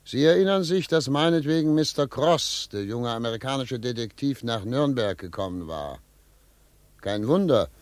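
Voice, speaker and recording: An actor playing a brisk, self-assured middle-aged American lawyer who narrates and talks in a German radio play, with a theatrical, pondering manner. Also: male voice, medium pitch, animated, dry recording, lightly acted. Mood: measured narrating. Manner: theatrical, pondering aloud, repeating words for emphasis. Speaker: narrator and lead character.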